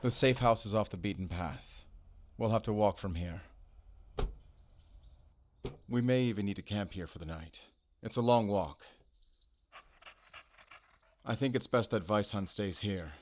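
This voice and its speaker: Audio roleplay lead: man as brooding male werewolf hunter, low voice, halting and solemn, guarded yet intimate. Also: slight background noise, close to an everyday voice. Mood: grave, matter-of-fact. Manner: halting, measured, solemn, intimate address to listener. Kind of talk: cinematic audio roleplay acting.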